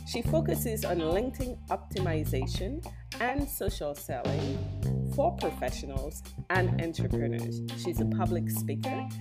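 A woman podcast host speaks in a fairly low, lively voice. Her delivery is emphatic and enthusiastic, like a presenter welcoming an audience. Loud guitar music plays behind her. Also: unhurried. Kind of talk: upbeat scripted podcast introduction and interview.

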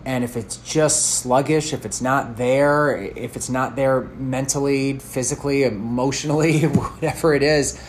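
A man's voice: medium pitch, slight background noise. A man in his thirties, a fitness coach, answering a question in an earnest, thoughtful way, often restarting his sentences. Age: 30s.

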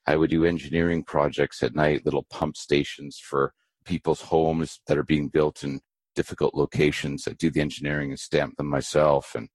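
A middle-aged man from Canada, a guest giving measured, emphatic business advice in a podcast interview. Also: extremely low pitch, a little mellow, no echo. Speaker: entrepreneur guest.

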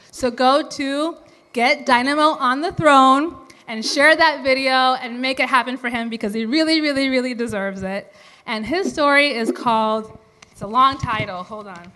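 A woman's voice: on the high side, pushed and bright. A woman hosting a live storytelling show, introducing it to the audience.

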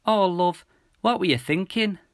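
A man's voice: sits high, unhurried, dry recording, studio quality, voice pushed up. A man voicing a worried, loving mother with an emotional, pleading manner in an audiobook story. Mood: tearful relief.

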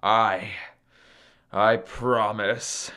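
A haughty, powerful sun god from a storybook, acted out by a man. He speaks slowly and commandingly, with a blustering roar and great self-importance. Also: lowish voice, little pitch movement, sharp tone, clear, resonant tone, voice pushed down, full character voice. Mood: cross, grudging. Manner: roaring, commanding, drawn-out delivery. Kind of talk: storybook character acting.

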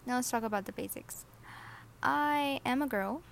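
Teen girl podcast host, a bit nervous, joking about herself, light high voice. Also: normal speed, creaky, a little hiss or hum. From Saudi Arabia. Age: teens. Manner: chatty, self-deprecating, a little nervous. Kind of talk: casual personal podcast monologue.

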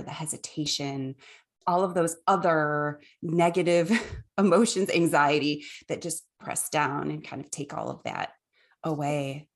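Woman, podcast host, low-pitched, warm, measured, interviewing. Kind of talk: interview questions.

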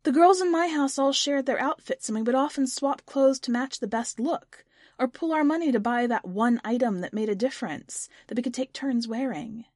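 A woman's voice: high-pitched, moderate pace, a little mellow, no room sound, clean studio sound, voice pushed up. Woman reading as a tentative, earnest young woman. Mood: warming, earnest. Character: hesitant, inventive young woman answering an eccentric mentor.

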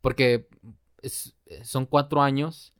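A man's voice: medium pitch, little pitch movement, fairly bright tone, clear, resonant tone, dry recording, studio quality. Young Mexican man, slangy and laughing. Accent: Mexican Spanish. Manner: slangy, laughs often, turns reflective and slow when talking about his breakup. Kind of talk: casual chat.

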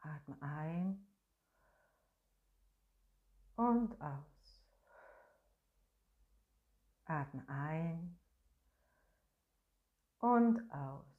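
Slow, soothing woman guiding breathwork. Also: deep voice, expressive, phone-quality sound. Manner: slow, soft, soothing instructions with long pauses; hums and sings sustained tones as demonstration. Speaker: voice and breathing guide. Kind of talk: guided voice meditation.

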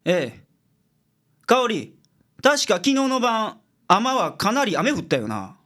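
An actor playing a blunt, gruff male detective in a radio drama, brusque and authoritative, with a Kansai accent. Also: sits high, huge pitch swings, slow pace, fairly bright tone, exaggerated voice. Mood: sharp, deducing. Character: gruff, blunt male private detective, sharp-witted boss.

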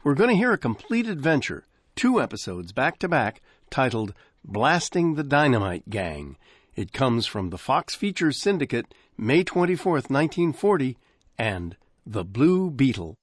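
Brief: male host, mid pitch, animated delivery, dry close mic. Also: moderate pace, breathy edge, dry recording. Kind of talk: radio show introduction.

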